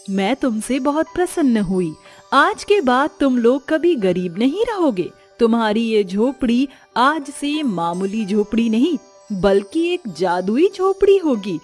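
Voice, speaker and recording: Woman as gracious goddess, high voice, solemn, benevolent, storybook fable, music behind. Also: darkish tone. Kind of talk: dramatised moral storytelling.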